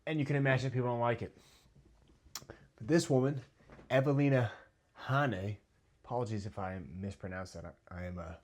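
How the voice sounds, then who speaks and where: An American man giving a heated, halting commentary monologue with many restarts and sudden drops in volume. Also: mid-range voice. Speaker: video commentator.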